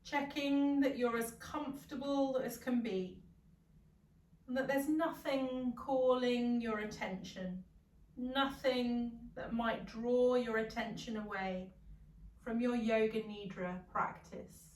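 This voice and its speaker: Very slow-speaking woman guiding meditation. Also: on the high side. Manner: calm, soothing, gentle instruction with long pauses. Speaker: yoga nidra meditation guide. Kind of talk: guided meditation. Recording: roomy echo, distant from the mic.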